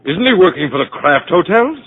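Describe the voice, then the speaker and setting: Brusque, husky, badgering doctor. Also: male voice, high voice, sing-song, fairly quick, heavily acted. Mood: condescending. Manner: badgering, condescending, insistent. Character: brusque, impatient male doctor interrogating a patient. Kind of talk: mid-century American radio drama acting. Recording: muffled old radio recording, hollow reverb.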